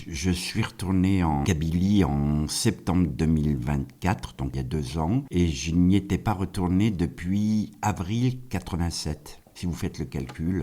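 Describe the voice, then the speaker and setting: A man's voice: very deep voice, dark tone. A middle-aged man from France talking casually and reflectively as a singer-songwriter guest in a radio interview, often in short phrases.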